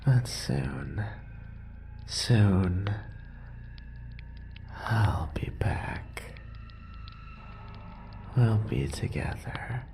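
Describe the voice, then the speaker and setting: A man playing a deranged, possessive male lover in a horror audio roleplay, speaking in breathy, halting, intimate fragments. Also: animated, mellow, full character voice. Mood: dying, tender. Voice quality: breathy, whispery, creaky low voice. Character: obsessive, deranged yandere male lover, tender and murderous.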